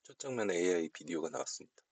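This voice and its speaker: A man giving a casual, unscripted software walkthrough, explaining politely step by step. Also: sits low, lively intonation, very fast, no echo. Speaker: software tutorial presenter.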